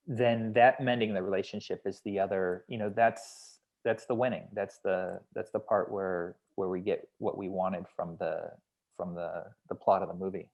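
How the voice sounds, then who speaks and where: Guy with a lowish voice giving notes on a script, explains stuff carefully, kinda hedging. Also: a little mellow, lo-fi sound. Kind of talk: feedback discussion at a table read.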